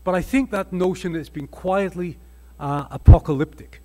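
Older Welsh-accented man, husky, slightly high voice, earnest panel lecturer. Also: moderate pace, a little mellow. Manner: earnest, emphatic lecturing with restarts. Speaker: panel speaker. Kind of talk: conference panel talk with slides. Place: conference hall panel table. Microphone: table microphone.

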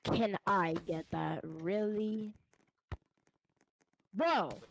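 A woman's voice: medium pitch, very slow, creaky. A woman bantering casually and bossily while gaming over voice chat. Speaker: gamer. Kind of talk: casual banter while playing an online game. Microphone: gaming voice chat microphone. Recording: noisy game voice chat with clicks.

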